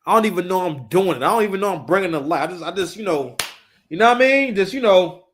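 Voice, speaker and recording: A man's voice: sits high, animated, quick pace, a little hiss or hum. A man in his thirties giving casual, unscripted live commentary, talking emphatically, repeating his phrases and swearing freely. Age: 30s.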